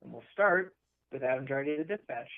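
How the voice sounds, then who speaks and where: A man's voice: mid-range voice, lively intonation, fast, vocal fry. A man moderating a press conference call in an orderly way, heard over a phone line.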